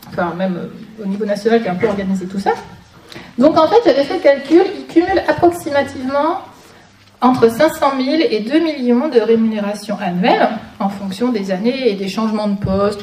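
A woman's voice: medium pitch, a little mellow. A woman giving an informal public lecture as a guest speaker, with wry, sarcastic asides. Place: conference room before an audience. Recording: echoey room, distant mic, noisy.

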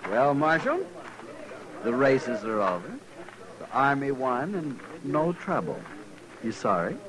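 An actor playing a cocky, needling American army lieutenant in a 1950s radio western. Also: male voice, mid-range voice, big pitch swings, takes it slowly, poor audio. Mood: smug, challenging. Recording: old radio recording, crowd behind.

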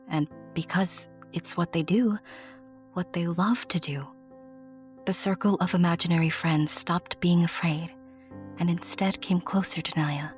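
A woman narrating a fairy tale in a tender, hushed, dramatic way, speaking directly to the listener. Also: fairly low pitch. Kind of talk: dramatic scripted storytelling. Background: music.

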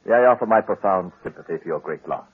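An actor playing a pompous, unctuous undertaker, solemn and formal, in 1940s radio style. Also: male voice, low-pitched, animated, quick pace, phone-quality sound, background noise.

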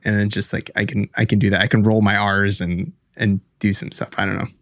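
A man's voice: fairly low pitch, monotone, a little fast, a bit bright, no room sound, clean studio sound. A man co-hosting a podcast, chatting casually and hedging a lot, often starting over with "I don't know". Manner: hesitant, hedging, full of restarts. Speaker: podcast co-host. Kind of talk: casual chat.

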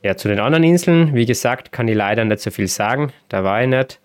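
A man hosting a podcast, telling travel stories in a relaxed, chatty way with small hesitations. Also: mid-range voice, fast. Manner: relaxed storytelling with occasional laughs and hesitations. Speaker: podcast host. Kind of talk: casual podcast chat.

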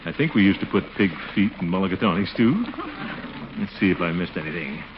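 Muffled noisy vintage radio, pompous middle-aged man, blustery, easily flustered, very animated pitch, comic muttering. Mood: musing. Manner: blustery, muttering to himself, drawn-out exclamations. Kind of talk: 1940s radio comedy acting.

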